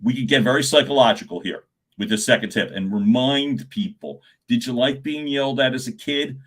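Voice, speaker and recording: A middle-aged man with a loud voice, coaching on a live stream and swinging his pitch and volume as he talks to viewers. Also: brisk. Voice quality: loud speaking voice. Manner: animated, swings pitch and volume, chuckles often.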